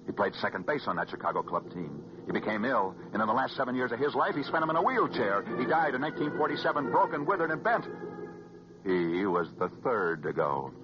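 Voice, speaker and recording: An American man telling stories as a 1940s radio host, scripted and dramatic, with emphatic repetition and weighty pauses. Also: medium pitch, very animated. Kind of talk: 1940s radio sports storytelling, scripted narration. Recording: muffled vintage 1940s radio recording. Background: music.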